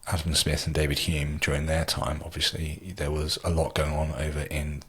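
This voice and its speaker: A man's voice: rumbling low, monotone, moderate pace, fairly bright tone, husky. A British man hosting a podcast interview, talking in a rambling, hesitant way with many ums. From Britain. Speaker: podcast host. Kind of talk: interview chat.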